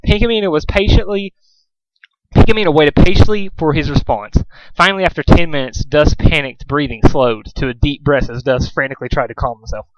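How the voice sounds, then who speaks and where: A man's voice: on the high side, brisk, no echo, muffled recording. A man narrating a story aloud as an amateur reader, sometimes stumbling over words and rereading. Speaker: amateur fan fiction reader.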